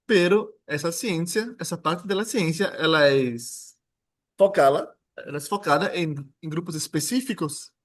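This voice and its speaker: Brazilian man giving a casual seminar. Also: a little high. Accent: Brazilian Portuguese. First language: Portuguese. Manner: informal, joking, halting with restarts. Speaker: guest lecturer. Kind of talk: academic seminar talk.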